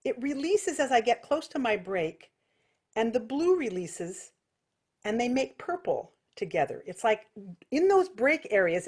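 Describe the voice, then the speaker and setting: A woman giving an informal singing lesson as a vocal technique teacher, explaining things with vivid imagery. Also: fairly high pitch.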